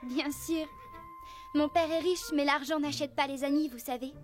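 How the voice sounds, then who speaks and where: A woman's voice: sits high, steady tone, moderate pace, soft background music, lightly acted. A woman playing a sincere, modest teenage rich girl in a French cartoon dub, speaking earnestly.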